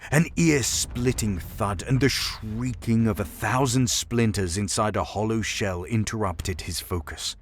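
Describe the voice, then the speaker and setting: A man reading dark science fiction as dramatic scripted narration, measured and grave in delivery. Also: fairly low pitch, slight background noise. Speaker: story narrator. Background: music.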